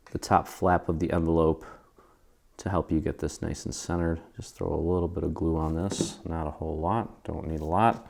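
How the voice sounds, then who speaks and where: Low-pitched American man, casual craft host. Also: gravelly, a little mellow. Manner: informal, easygoing instruction. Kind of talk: casual step-by-step craft tutorial. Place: indoor craft studio.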